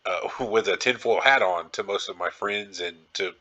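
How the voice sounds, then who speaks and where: Deep, bright-voiced man podcasting casually. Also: normal speed. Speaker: podcast host. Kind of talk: casual, rambling solo podcast talk.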